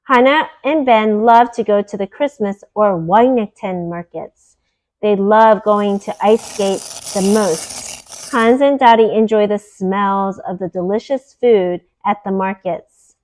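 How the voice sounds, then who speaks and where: A woman reading a children's picture book aloud in a deliberate, teacherly way, explaining words as she goes. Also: medium pitch, darkish tone, rough recording.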